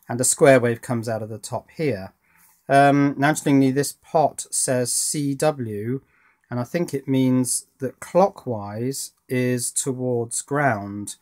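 A middle-aged man with a medium-pitched voice presents as an electronics hobbyist. He explains in an informal, hands-on way, working through his thoughts aloud as he talks. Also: darkish tone. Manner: thinking aloud. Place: indoor workbench.

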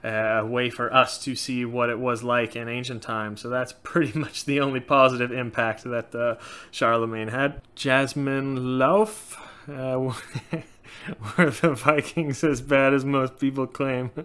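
A man in his thirties with a light Norwegian accent answers viewer questions in a casual, outspoken way. His voice is bright and crisp. He sits close to a webcam in a small indoor room. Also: medium pitch, measured pace. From Norway. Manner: frank, animated, gestures a lot. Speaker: channel host. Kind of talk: casual Q&A chat.

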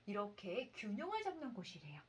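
A woman in her thirties, a mother reading a children's story aloud and asking her child questions in an expressive, sing-song, explanatory way, with faint room noise behind her. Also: medium pitch, brisk.

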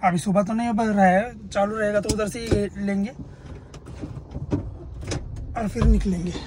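A man's voice: high voice, fairly even intonation, moderate pace. A young man in his twenties chatting casually to viewers on a road-trip vlog, filming himself at arm's length and explaining prices and places. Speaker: travel vlogger. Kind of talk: casual travel vlog narration. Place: outdoors at markets and beach, also in a car and a room. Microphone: phone camera at arm's length. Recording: noisy outdoor phone audio.

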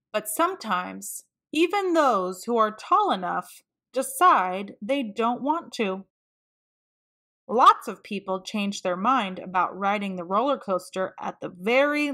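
A woman reading a children's storybook aloud, expressively and with deliberate emphasis for young listeners. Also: mid-range voice, expressive, bright, forceful tone. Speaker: children's read-aloud narrator.

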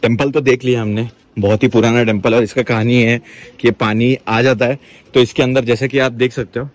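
A young man talking excitedly on a casual travel vlog, mixing English words into his Hindi. He records outdoors by a river and in a small round boat, speaking into a handheld camera. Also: medium pitch, a little fast. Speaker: travel vlogger.

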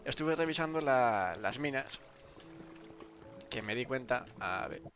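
A man's voice: lively intonation, a little slow, fairly bright tone, soft background music. Congested man streaming a game. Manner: rambling, many restarts, low mumbled asides. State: congested, sore throat. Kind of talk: casual live-stream chat.

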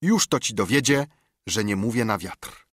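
A man's voice: on the low side, expressive, moderate pace, a bit bright, slightly rough, dry recording, clean studio sound. A man voicing a kindly, earnest middle-aged doctor who pleads urgently, in an audiobook. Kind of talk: audiobook character reading.

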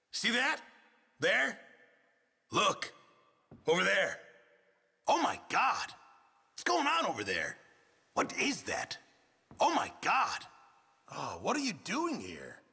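An actor playing a gruff, fretful middle-aged camp cook in a Western video game, exasperated and husky. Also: male voice, high voice, in a large hall, exaggerated voice. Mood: startled, then suspicious. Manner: exasperated, excitable, swinging from mutters to shouts. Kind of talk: video game ambient character barks.

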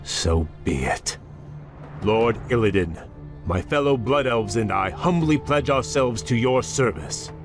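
An actor playing a noble young elven prince in a fantasy game, speaking in a formal, earnest, respectful way. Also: male voice, mid-range voice, lively intonation, measured pace, music in the background, lightly acted. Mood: deferential. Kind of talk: dramatic fantasy game cutscene acting.

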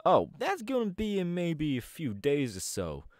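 A man's voice: fairly high pitch, huge pitch swings, a little slow, a little mellow, no room sound, clean studio sound. A man voice acting a laid-back young male DJ gang boss in an ASMR audio roleplay, talking in an easygoing, chatty drawl. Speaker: voice actor.

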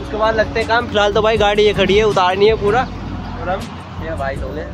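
A man's voice: sits high, brisk, over music. A young man vlogging outdoors in a village with a phone at arm's length, chatting casually and teasing his friends. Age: young adult. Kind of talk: casual vlog chat to camera. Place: outdoors in a village and on a moving bike. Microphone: phone held at arm's length.

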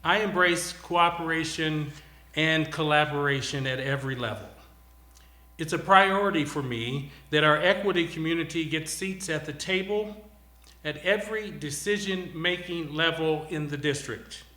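A man's voice: on the high side, moderate pace, pushed and bright. A man giving a formal, declarative campaign speech, addressing his audience directly. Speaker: school board candidate. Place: TV studio. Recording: roomy, echoing studio sound.

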